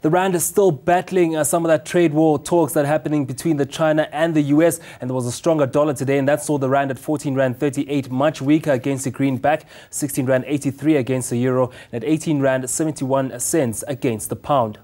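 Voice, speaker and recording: A man's voice: on the high side, fairly even intonation, a little fast, few pauses, warm tone. A man giving a live business and market report, talking off the cuff with many fillers.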